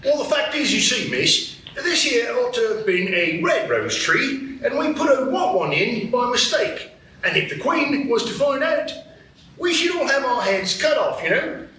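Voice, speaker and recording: Fretful, apologetic card gardener played by a man; high and lively, roomy live-stage sound. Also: measured pace, heavily acted. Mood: nervous. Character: humble nervous gardener, a card. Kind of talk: live radio play acting. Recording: echoey stage from far off, noisy.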